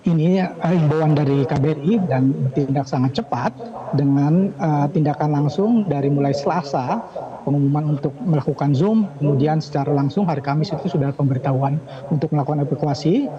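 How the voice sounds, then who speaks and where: A middle-aged man answering questions over a remote call, halting and pausing often with filler sounds. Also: fairly high pitch. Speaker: evacuee interviewed by phone. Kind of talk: hesitant phone interview answers. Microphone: phone or video call. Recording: dull lo-fi remote line with crowd behind.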